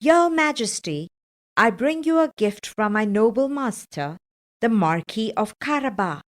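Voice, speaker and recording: A woman's voice: on the high side, animated, measured pace. A woman voicing a clever, persuasive talking cat in a fairytale storybook reading. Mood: eager, courtly. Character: clever, bold talking cat, servant to his master.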